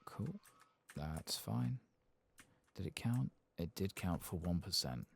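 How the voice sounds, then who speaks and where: Very deep, creaky, soft-spoken man. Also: expressive, takes it slowly, slight background noise. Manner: soft-spoken, murmuring to himself. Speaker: game streamer. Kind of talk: soft-spoken ASMR game playthrough commentary. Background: keyboard typing and clicking.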